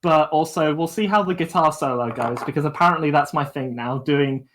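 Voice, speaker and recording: A man's voice: on the high side, fairly quick, fairly bright tone. A young man chatting casually as co-host of a student radio livestream. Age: young adult.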